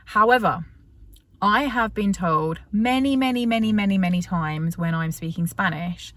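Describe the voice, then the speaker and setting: A woman's voice: medium pitch, moderate pace, a bit bright, no echo. A British woman vlogger telling a story in a casual, chatty way, full of fillers and restarts. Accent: British English.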